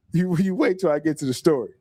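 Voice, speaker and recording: A middle-aged man hosting a casual podcast livestream, talking in an animated way, stumbling over repeated words and often laughing. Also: on the high side, dry recording, studio quality. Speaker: podcast host.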